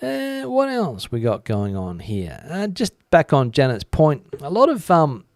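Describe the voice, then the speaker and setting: A man's voice: fairly high pitch, wildly swooping pitch, unhurried, darkish tone, no room sound, studio quality. A man hosting a live stream, talking through the news in a casual, conversational way and musing as he answers viewers. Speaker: host of a live commentary stream. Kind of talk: casual news commentary, reading out viewer comments.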